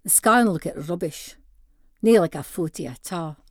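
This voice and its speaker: A woman's voice: fairly low pitch, unhurried, dry recording. Scottish woman reading a story, close and dry. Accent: North-East Scottish (Doric). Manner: expressive, acts out dialogue in dialect. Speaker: author reading own short story. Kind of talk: scripted narration, first-person storytelling reading.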